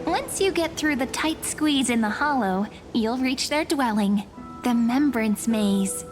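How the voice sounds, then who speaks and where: A serene, teasing young woman from a fantasy game. Her voice is high and soft, and she takes her time over every phrase, with music playing behind her. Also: expressive, unhurried. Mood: calm, fond. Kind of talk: video game voice acting. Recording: background music under the voice.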